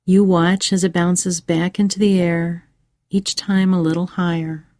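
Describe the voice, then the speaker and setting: A woman narrating a scripted guided meditation for children in a measured, soothing way with pauses. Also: fairly low pitch, flat delivery, darkish tone, dry recording, studio quality.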